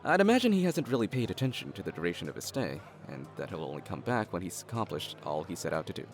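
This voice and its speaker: A wry tycoon's son in an audio drama. His voice is on the low side and animated, full of dry understatement, with soft music behind. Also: fast. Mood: polite, guarded. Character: wry, easygoing adult elder brother, tycoon's son. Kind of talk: naturalistic audio drama acting.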